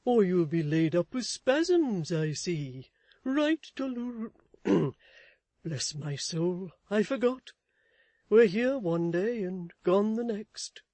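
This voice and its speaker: A woman voicing a flustered, meek middle-aged husband who talks slowly and sheepishly. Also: mid-range voice, very animated, warm tone, dry recording, pitched above the performer's own voice. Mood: blundering. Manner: slow, hesitant, sheepish.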